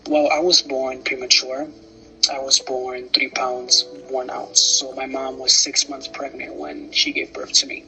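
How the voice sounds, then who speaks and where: A man's voice: mid-range voice, level tone, unhurried, vocal fry, sharp tone, soft background music, poor audio. A young man with a Dominican New York accent, a guest in a casual interview, playful and upbeat. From New York, Upper Manhattan. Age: young adult.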